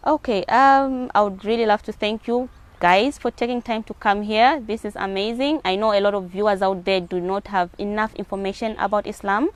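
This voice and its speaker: A woman's voice: medium pitch, moderate pace, few pauses. A woman with a Zambian accent giving earnest answers as a guest in a spoken interview. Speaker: interview guest, hijab advocate.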